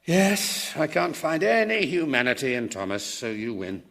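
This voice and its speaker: A man's voice: medium pitch, big pitch swings, normal speed, vocal fry, fairly bright tone, full character voice. An actor playing an earnest, idealistic and easily exasperated male professor in a radio comedy drama. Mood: resigned.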